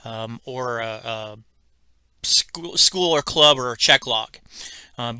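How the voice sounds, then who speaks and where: A man giving an unscripted, step-by-step software tutorial in a halting, methodical, instructional way. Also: mid-range voice, smooth, dry recording. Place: indoors at a computer, screen-recording voice-over.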